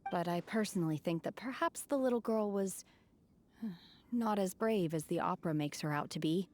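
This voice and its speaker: Woman as reserved, low-voiced young woman. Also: normal speed, darkish tone, a little hiss or hum, close to an everyday voice. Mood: doubtful, reserved. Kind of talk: video game voice acting.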